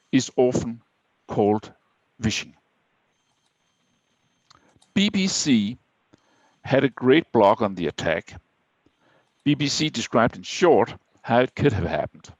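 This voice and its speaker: A man from Denmark, speaking English with a Danish accent, presenting a prepared webinar talk in a measured, slightly halting way. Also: mid-range voice, creaky, no room sound, lo-fi sound.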